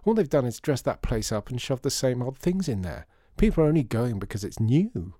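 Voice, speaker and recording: A sharp-tongued young woman, as a male storyteller puts her on, speaks with blunt impatience. Her voice is a touch lifted, with a mellow warmth underneath. The sound is dry and close. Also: medium pitch, sing-song, brisk, dry recording.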